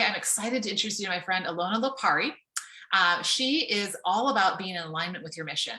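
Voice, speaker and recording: A woman's voice: mid-range voice, bright, forceful tone, poor audio. A woman in her forties hosting a podcast, introducing her guest with enthusiasm. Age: 40s. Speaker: podcast host. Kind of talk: interview introduction.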